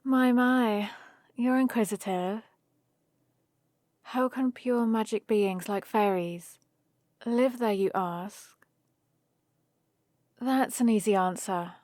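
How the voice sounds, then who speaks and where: A woman's voice: a little high, a little mellow, dry recording, clean studio sound. A woman acting a playful, fond young hero from another world in an intimate ASMR roleplay, teasing and talking straight to the listener. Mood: explaining, amused. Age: young adult. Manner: teasing, unhurried, intimate one-sided conversation. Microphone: close studio-style microphone, dry.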